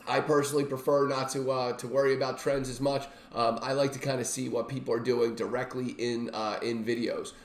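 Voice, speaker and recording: A man's voice: medium pitch, level tone, brisk, hardly any pauses. Under-the-weather man in an echoey room. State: sick, recovering from a lost voice. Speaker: software reviewer and demonstrator. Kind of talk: casual screen-share walkthrough. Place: small indoor home room. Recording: roomy, echoey home-room sound.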